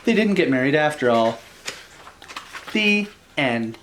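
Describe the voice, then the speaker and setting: A man reading a children's bedtime story in an animated style, with wry, joking casual asides. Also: fairly high pitch, slow pace, a little hiss or hum.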